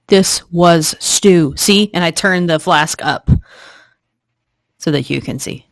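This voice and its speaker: A woman's voice: lowish voice, takes it slowly, dry recording. A woman speaking casually as a player improvising in a role-playing game on an online call. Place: online group video call.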